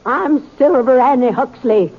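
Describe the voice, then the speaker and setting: A woman's voice: sits high, a little slow, slightly rough, heavily acted. An actress playing a proud, stubborn frontier woman, indignant and emphatic, in a 1950s radio western. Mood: defiant. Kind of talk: 1950s radio drama acting. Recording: hissy, muffled 1950s radio recording.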